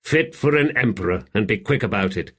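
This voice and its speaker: A man voicing a shrewd slave in an audiobook, calm, deferential and measured, speaking quietly. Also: fairly low pitch. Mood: calm, coaxing. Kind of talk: audiobook character acting.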